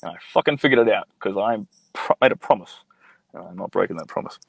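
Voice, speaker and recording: A man's voice: fairly low pitch, animated, fast talker, vocal fry, fairly bright tone, husky, no echo, rough recording. A young man hosting a casual live stream from his mobile phone, reading viewer comments and answering in a blunt, profane, rambling way full of fillers.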